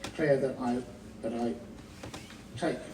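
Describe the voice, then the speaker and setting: A man's voice: mid-range voice, steady tone, takes it slowly. A man reading a formal oath of office, stumbling and restarting. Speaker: newly elected vice chairman. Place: large echoey council chamber. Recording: echoey distant hall recording with noise.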